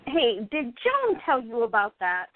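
A woman's voice: a little high, huge pitch swings, measured pace, exaggerated voice. A woman acting a jealous, guarded and easily wounded young actress in an amateur radio play. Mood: defensive. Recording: thin, phone-like band-limited audio.

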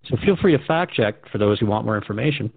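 A man's voice: lowish voice, expressive, quick pace, creaky, husky, dry recording. A man hosting a radio drama interview in a thoughtful, courteous manner. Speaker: radio show host. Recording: dry, narrow phone-like band.

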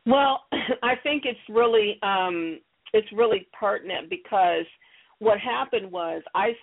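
A woman's voice: medium pitch, slow. A middle-aged woman talking earnestly as an interview guest, telling personal stories in an encouraging, emphatic way over a phone line. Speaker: interview guest, audio theater creator. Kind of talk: conversational interview, personal storytelling. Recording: narrow, tinny phone-line sound.

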